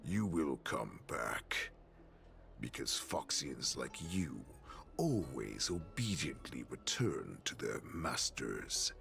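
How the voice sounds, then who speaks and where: A man playing a cruel, imperious wolf warlord in a video game, slow and taunting in his menace. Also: a bass voice, sharp tone, full character voice. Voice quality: gravelly. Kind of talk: dramatic video game voice acting.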